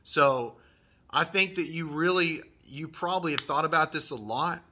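A man's voice: fairly high pitch, moderate pace, bright, forceful tone. A man in his thirties hosting a trade advice vlog, earnest and encouraging, repeating his key points, filmed close in a decorated workshop room. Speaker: HVAC channel host. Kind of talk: advice vlog, reading a viewer email.

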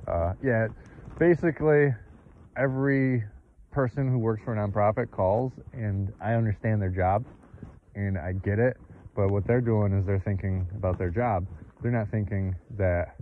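A man's voice: lowish voice, normal speed. A man who runs a tent rental business, talking frankly with a client during an outdoor site visit. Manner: blunt, practical, explains business frankly. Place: outdoors at a snowy rural site. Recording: tinny phone-like sound, faint outdoor noise.

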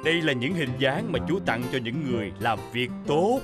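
Stern uncle officer voiced by a man, firm, very lively pitch. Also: moderate pace, over music, lightly acted. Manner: firm, questioning, lively intonation.

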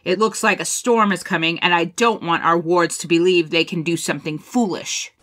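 A woman's voice: on the low side, fairly even intonation, moderate pace, fairly bright tone, lightly acted. A woman playing an honourable military colonel in an audio drama, speaking with formal, firm authority. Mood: stern, commanding.

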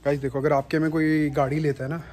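A man's voice: fairly high pitch, little pitch movement, fast, a little mellow, slight background noise. A young man in his twenties, a student vlogging casually around his university campus on a phone held at arm's length, playful and teasing with friends. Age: 20s.

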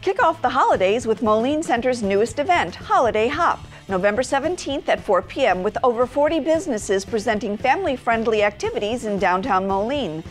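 Higher-pitched American woman reading event listings. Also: animated, a little fast, hardly any pauses, faint music behind. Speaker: TV segment presenter. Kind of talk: scripted events calendar narration. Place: TV studio.

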